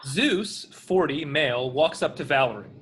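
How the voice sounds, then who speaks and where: A man's voice: on the high side, lively intonation, moderate pace, fairly bright tone, slight background noise. A man reading screen directions as scripted narration at a table read.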